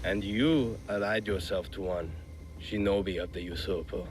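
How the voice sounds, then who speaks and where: A man playing a proud, grieving, vengeful feudal Japanese lord in a video game cutscene, measured and poetic. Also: sits low, steady tone, a little hiss or hum, natural voice. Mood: cool disdain.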